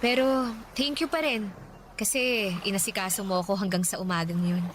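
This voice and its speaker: A woman playing a soft-spoken young woman. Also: mid-range voice, fairly even intonation, normal speed, close to an everyday voice. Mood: apologetic. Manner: soft, apologetic, gentle. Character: young woman torn between two men. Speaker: lead character in radio drama. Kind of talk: radio drama acting. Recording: audible background hiss.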